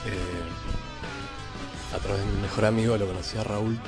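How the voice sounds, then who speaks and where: A low-voiced guitarist answers calmly and in measured phrases. Clear music sits behind him. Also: male voice. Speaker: guitarist guest. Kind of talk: casual interview.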